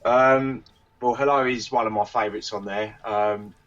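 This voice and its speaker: Male musician interviewee, lowish voice, hesitant casual chat, dull lo-fi distant microphone. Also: steady tone, normal speed, a bit bright. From South of England. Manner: hesitant, loosely phrased. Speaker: band member being interviewed.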